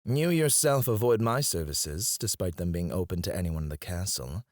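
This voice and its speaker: Man acting a haughty, low-voiced fantasy alchemist. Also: expressive, normal speed, dry recording, clean studio sound. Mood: cool disdain. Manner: haughty, formal, theatrical delivery. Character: cocky, disdainful male royal alchemist, sharp-witted and proud. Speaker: voice actor in audio roleplay. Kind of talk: scripted ASMR fantasy roleplay acting. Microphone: close, dry studio mic.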